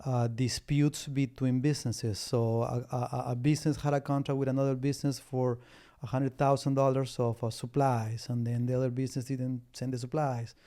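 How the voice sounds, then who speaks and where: Halting Peru-born man with a mellow voice. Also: mid-range voice, level tone. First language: Spanish. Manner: halting, frequent restarts and fillers. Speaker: guest, business litigation lawyer. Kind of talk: casual interview talk.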